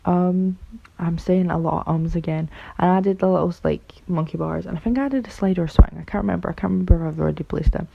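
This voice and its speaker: Raspy, ill-sounding woman rambling. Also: on the low side, fast talker. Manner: rambling and unsure, many fillers like "like" and "um". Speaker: game building commentator. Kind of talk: casual voice-over commentary on a game build. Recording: dull lo-fi recording.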